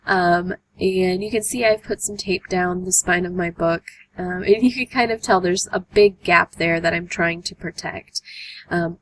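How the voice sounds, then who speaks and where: A young woman with a hoarse voice from a cold, hosting a casual art tutorial voice-over with lots of "um" fillers. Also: lowish voice, unhurried, a bit bright, slight background noise, rough recording. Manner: chatty, many "um" fillers. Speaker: art journaling tutorial host.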